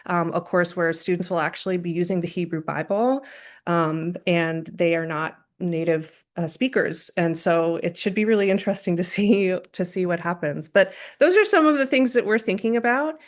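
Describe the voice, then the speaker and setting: A woman's voice: fairly low pitch, moderate pace. A woman presenting her work in a casual, filler-heavy way over a video call. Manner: casual, filler-heavy with many likes and ums. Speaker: learning lab staff presenting. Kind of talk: webinar presentation.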